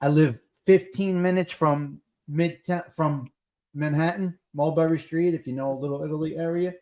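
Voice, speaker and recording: A man's voice: a little high, fairly even intonation, moderate pace, warm tone. A man with a New Jersey accent chatting casually as a livestream host, plain-spoken and repeating phrases for emphasis. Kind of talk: casual chat.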